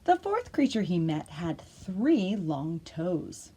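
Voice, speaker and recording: A woman hosting a children's storytime, reading aloud with expressive, encouraging, exaggerated delivery. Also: fairly low pitch, takes it slowly, slightly rough. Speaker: library storytime host. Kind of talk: animated read-aloud for young children.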